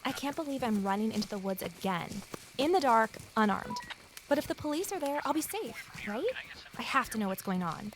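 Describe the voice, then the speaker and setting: A woman's voice: a little high, lively intonation, fairly quick, background noise, natural voice. An actress playing a guarded, lonely amateur sleuth who narrates her own mystery in an introspective audio drama style. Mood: uneasy.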